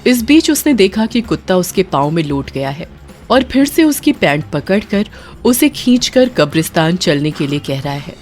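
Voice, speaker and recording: A woman's voice: on the low side, sing-song, normal speed, darkish tone, soft background music. A woman narrating a scripted horror story in a dramatic storytelling style.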